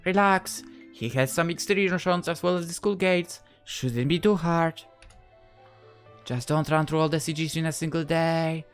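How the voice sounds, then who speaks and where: A woman's voice: low voice, steady tone, normal speed, smooth, faint music behind, natural voice. A woman voicing a composed, confident, teasing schoolgirl in a visual novel game.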